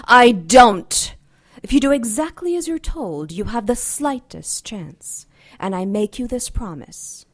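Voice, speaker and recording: An actress playing a merciless, coldly seductive demon goddess in an audio drama, commanding and deliberate. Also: female voice, a little high, lively intonation. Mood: cold, menacing.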